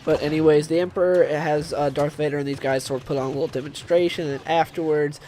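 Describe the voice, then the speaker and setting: A man's voice: fairly high pitch, steady tone, fast, mellow, slight background noise. Stuffed-up nasal young man reviewing comics. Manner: rambling, with frequent fillers and restarts. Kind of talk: casual review monologue.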